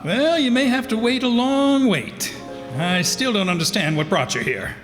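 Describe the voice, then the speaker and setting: A man's voice: very high-pitched, expressive, moderate pace, fairly bright tone. A man playing an unruffled, dismissive and evasive adoptive father in an old-time radio drama. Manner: unruffled, dismissive, hesitant phrasing. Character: unworried, evasive adoptive father of a wealthy young woman. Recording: echoey hall with music behind.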